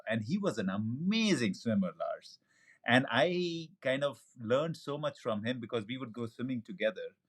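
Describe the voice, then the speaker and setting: A man's voice: medium pitch, lively intonation, normal speed. A man hosting a podcast interview, effusive and eager as he welcomes his guest and asks questions. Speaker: podcast host. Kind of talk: conversational interview.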